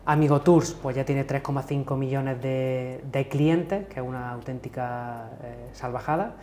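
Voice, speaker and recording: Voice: mid-range voice, a little slow, darkish tone, slight background noise. An interview host, opening and leading the talk in a chatty, informal way. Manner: chatty, informal, many fillers. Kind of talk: casual interview hosting.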